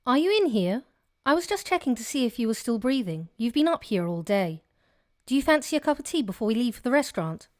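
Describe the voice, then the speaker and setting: Woman playing a sensible caring friend, higher female voice, gentle, plain-spoken, dry close sound, audio drama. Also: big pitch swings, fast talker, no room sound. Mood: concerned.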